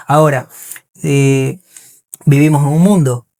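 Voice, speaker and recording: A man's voice: fairly high pitch, steady tone, a little slow, vocal fry, dark tone. Bolivian-Argentine man explaining himself earnestly. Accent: Bolivian mixed with Argentine. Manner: earnest, explanatory, frequently checks understanding with tag questions. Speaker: interview guest, singer and musician. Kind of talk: casual podcast conversation.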